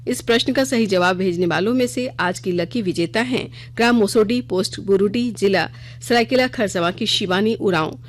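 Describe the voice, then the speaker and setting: A woman's voice: medium pitch, normal speed. Woman reading a radio news bulletin. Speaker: news reader.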